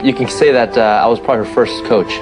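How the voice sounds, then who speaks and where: A man's voice: mid-range voice, fast talker, over music. A man recalling teaching a child to skate, hesitant and full of fillers. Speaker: parent and first coach. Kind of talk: casual recollection.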